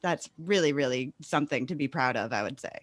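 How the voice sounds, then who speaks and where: A woman's voice: a bass voice, animated, a little fast, no room sound. A woman hosting a casual podcast interview in an enthusiastic, affirming manner. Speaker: podcast host interviewing a guest.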